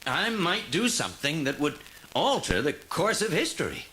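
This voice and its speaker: A man playing an excitable, eccentric older scientist in a dramatized storybook read-along, speaking in urgent, emphatic exclamations. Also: fairly high pitch, normal speed, slight background noise, full character voice. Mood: agitated. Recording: loud music behind the voice.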